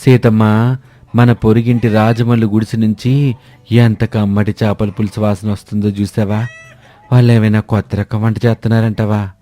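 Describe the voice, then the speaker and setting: A man's voice: lowish voice, level tone, moderate pace, warm tone, faint music behind, lightly acted. A man voicing a nosy, hungry village neighbor in a story, eager in manner. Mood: curious, craving.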